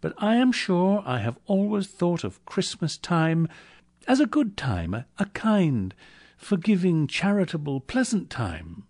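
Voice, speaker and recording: Casting: male reader playing eager young storybook gentleman, cheerful and earnest, high lifted pitch, animated. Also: measured pace, exaggerated voice. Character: cheerful, warm-hearted young gentleman, pleading nephew.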